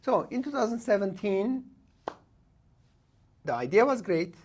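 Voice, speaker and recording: A man in his late forties from Turkey, whose first language is Kurdish, talks as a guest in a casual podcast interview in a studio. He speaks in short bursts with frequent "uh" pauses and restarts. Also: high voice, expressive, slight background noise. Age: late 40s.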